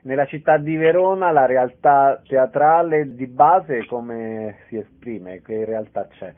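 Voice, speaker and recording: A man's voice: medium pitch, slow, phone-quality sound. Male radio interviewer, band-limited sound.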